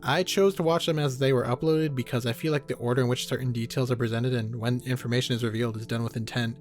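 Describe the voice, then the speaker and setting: Guy doing a jokey horror video essay, talks quick, sarcastic and sweary, music behind him. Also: medium pitch, fairly even intonation. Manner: sarcastic, joking, casually profane. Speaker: YouTube horror reviewer. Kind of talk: comedic video essay commentary. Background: background music.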